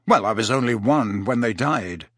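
A man voicing a determined teenage wizard boy, quiet and steady as he presses his point. The voice is slightly husky and dry, close to the reader's own. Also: on the low side, normal speed, no echo. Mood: quiet, grim.

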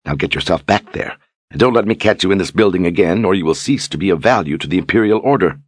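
A man's voice: deep voice, lively intonation, fast talker, husky, dry recording, clean studio sound. A man voicing a haughty, mocking older sorceress who talks down to everyone. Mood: scornful. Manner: mocking, condescending. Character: haughty older female sorceress, sharp-tongued.

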